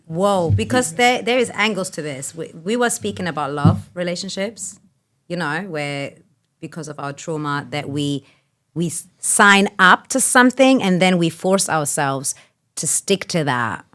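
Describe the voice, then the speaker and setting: Woman, very low voice, podcast host, thoughtful, searching for words. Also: lively intonation, unhurried, a bit bright. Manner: thoughtful, searching for words, asks open questions. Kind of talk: casual podcast conversation.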